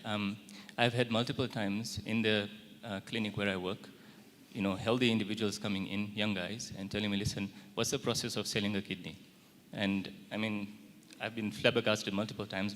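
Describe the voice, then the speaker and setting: Low-voiced man from Tanzania in an echoing hall. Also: fairly bright tone. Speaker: nephrologist asking a question from the audience. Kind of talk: conference question with personal anecdote. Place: large conference hall. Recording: reverberant hall, faint background noise.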